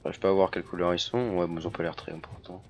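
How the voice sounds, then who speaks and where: Low, hoarse man talking through gameplay. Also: little pitch movement, racing, slight background noise. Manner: chatty, many fillers and restarts. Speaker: gaming YouTuber. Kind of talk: casual let's-play commentary.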